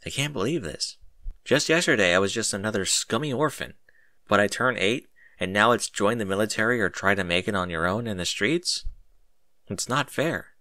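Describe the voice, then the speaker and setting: Guy doing a pouty little orphan boy in an audio story, bright voice, pitch jumps around. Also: animated, moderate pace, dry recording. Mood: indignant. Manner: pouty, questioning. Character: eight-year-old orphan boy, sulky and indignant.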